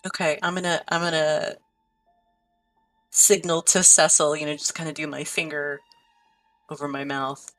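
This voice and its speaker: Female tabletop player, deep for a woman, casual conversational delivery, faint music underneath. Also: little pitch movement, normal speed, long pauses, a bit bright. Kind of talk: casual game-table chat.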